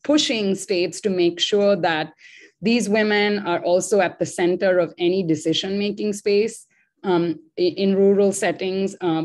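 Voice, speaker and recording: A woman from Sri Lanka speaking as a panelist in an online discussion, quick and thoughtful with frequent fillers. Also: on the low side, darkish tone.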